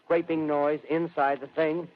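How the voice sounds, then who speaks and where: An actor playing an earnest American radio reporter, speaking formally but haltingly as he describes a scene live in 1930s radio drama. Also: a little high, level tone, close to an everyday voice. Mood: excited. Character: earnest, urgent male radio news reporter. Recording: muffled, hissy 1930s radio broadcast.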